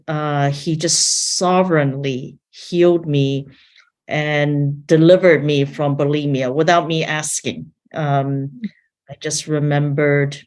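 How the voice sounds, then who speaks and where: A woman from Taiwan who grew up in several countries, a missions leader sharing her faith story earnestly and haltingly as an interview guest. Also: low voice, darkish tone. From born in Taiwan, grew up in several countries. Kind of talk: personal testimony in an interview.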